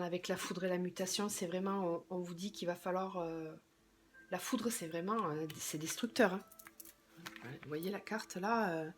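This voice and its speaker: A slightly hoarse woman doing a tarot reading, thinking aloud hesitantly and often trailing off into a quieter voice. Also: mid-range voice, a little hiss or hum. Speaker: tarot card reader.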